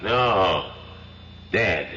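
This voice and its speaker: A grim police sergeant. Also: male voice, low-pitched, lively intonation, extremely slow, heavily acted. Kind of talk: 1970s radio drama acting. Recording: hissy lo-fi radio broadcast.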